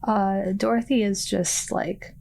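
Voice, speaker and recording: A woman's voice: mid-range voice, steady tone, takes it slowly, creaky, darkish tone. A woman casually narrating what her character thinks and does as a player in a tabletop game.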